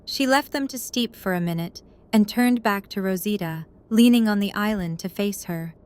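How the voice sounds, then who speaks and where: A woman's voice: medium pitch, moderate pace, darkish tone, clean tone. A woman reading scripted third-person story narration as the narrator of an audio drama.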